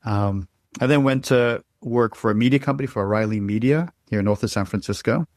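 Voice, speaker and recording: A man's voice: lowish voice, a little fast, a little mellow, no echo, clean studio sound. A middle-aged man from Ireland with an Irish accent, giving a rambling interview answer with frequent restarts and fillers. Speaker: guest.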